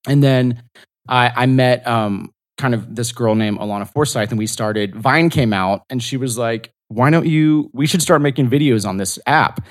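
A man's voice: medium pitch, normal speed. A man, a podcast guest and comedian, telling stories in a loose, rambling way full of "like". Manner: rambling, lots of "like" fillers. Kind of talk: casual storytelling interview.

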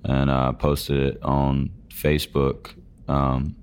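A young man speaking in a very deep, low baritone. He keeps his answers quiet, brief and understated, like a country singer talking through an interview. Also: monotone, slow, clean tone. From Georgia, US.

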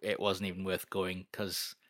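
Deep-voiced man, bright and dry. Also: completely flat delivery, a little slow, dry recording. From North East England. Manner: self-deprecating, rambling with many fillers. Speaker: guest. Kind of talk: casual interview chat.

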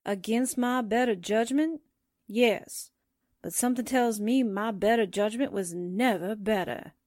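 A woman's voice: fairly high pitch, a little slow, a little mellow, no echo, clean studio sound, close to an everyday voice. A woman playing a sardonic, reluctant sidekick to a newspaperwoman, with wry 1940s radio drama delivery. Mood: wry, resigned.

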